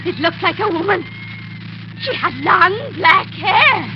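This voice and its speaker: An actress playing a shocked nurse in 1940s radio drama style, on an old noisy broadcast recording. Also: female voice, very high voice, slow, muffled recording. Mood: horrified. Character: shocked nurse witnessing a drowning.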